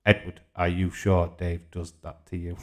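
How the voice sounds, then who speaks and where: A man's voice: low-pitched, completely flat delivery, moderate pace. A man in his forties with a Yorkshire accent hosting a casual livestream, reading out comments and bantering informally as he replies. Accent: Yorkshire English. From Yorkshire, England. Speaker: live stream host.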